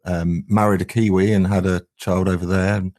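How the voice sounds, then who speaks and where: A man's voice: deep voice, little pitch movement, normal speed, a little mellow. An English man in his forties, a musician guest reminiscing casually in a hesitant, rambling way. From England. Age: 40s. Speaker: band frontman, interview guest.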